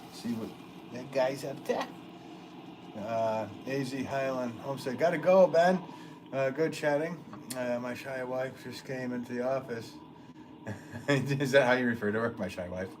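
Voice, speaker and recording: A man's voice: mid-range voice, noisy background. A man potter hosting a casual livestream from his studio, rambling through viewer comments with lots of ums and easy laughs. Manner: rambling, chatty, laughs often, many ums.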